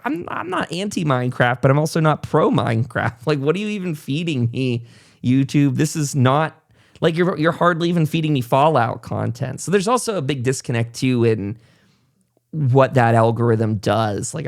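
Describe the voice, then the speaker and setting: A man's voice: medium pitch, animated, normal speed, gravelly. A man hosting a podcast in a casual, conversational way, informal and encouraging as he rambles along. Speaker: podcast host.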